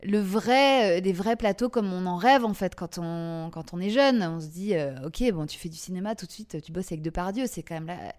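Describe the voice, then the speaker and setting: A woman with a Parisian French accent telling her life story casually as an interview guest, often pausing to search for words. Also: on the low side, darkish tone. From Paris, France. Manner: hesitant, halting, searching for words.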